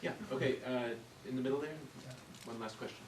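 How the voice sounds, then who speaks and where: A man's voice: mid-range voice, fairly even intonation, fast talker. A man moderating a seminar with informal remarks. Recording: very noisy echoey room, distant mic.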